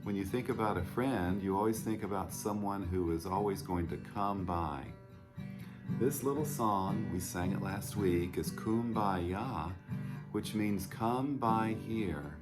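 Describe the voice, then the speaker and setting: A man who is a pastor hosting storytime, talking and reading to children in simple, unhurried phrases with questions to young listeners. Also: fairly low pitch, over loud music. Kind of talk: gentle storytime talk and picture-book reading for children.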